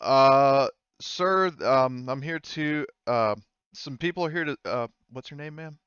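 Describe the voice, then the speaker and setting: Casting: game master playing obliging male front-desk officer, halting, deferential, smooth tone, dull mic. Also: mid-range voice, fairly bright tone, no echo, lightly acted. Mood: hesitant, deferential. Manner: hesitant, halting. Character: polite, obliging male station security receptionist.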